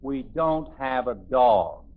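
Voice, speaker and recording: A man's voice: medium pitch, expressive, takes it slowly. A man playing an exasperated middle-aged father, stern, flustered and sarcastic, in a 1950s radio sitcom. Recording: dull, noisy 1950s radio recording.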